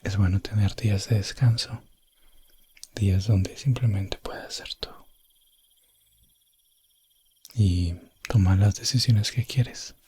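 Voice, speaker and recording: A man playing a calm, courteous elf prince adventurer in a soft, gentle ASMR fantasy roleplay, slipping in and out of whispers. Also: on the low side, measured pace, a little hiss or hum, natural voice. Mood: gentle, intimate. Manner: hushed, gentle, drifting in and out of whisper.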